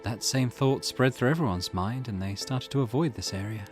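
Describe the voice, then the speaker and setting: A man voicing a reflective woman in a visual novel, telling a grim tale in a measured, wistful way over music. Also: mid-range voice, lightly acted. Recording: loud music behind voice.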